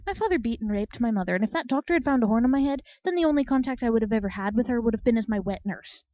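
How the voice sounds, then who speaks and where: A kind young earth pony mare read by a woman in a storybook tale. She speaks gently and earnestly with a steady temper, in a dry, somewhat dull recording. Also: a little high, racing, no echo, lightly acted. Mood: grave. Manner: soft, earnest.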